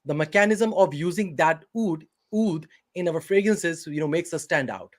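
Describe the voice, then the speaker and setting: A man's voice: fairly high pitch, expressive, normal speed. Hesitant man from Pakistan, filler-heavy. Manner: hesitant, many fillers and restarts. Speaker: company CEO, guest. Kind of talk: interview answers.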